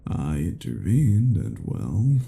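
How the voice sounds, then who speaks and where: A man's voice: sits low, huge pitch swings, slow, creaky, mellow, slight background noise, exaggerated voice. A man playing a cruel, domineering vampire in a roleplay audio drama, speaking in a menacing, condescending manner with formal, archaic phrasing. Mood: cold, haughty. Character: cruel, domineering male vampire toying with captive werewolf.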